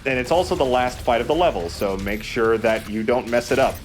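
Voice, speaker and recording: A man's voice: mid-range voice, fairly quick, faint music behind. A man hosting a let's play, chatting casually and explaining the game with dry sarcasm. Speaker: let's play host and player. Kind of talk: casual gaming commentary. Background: video game ambience.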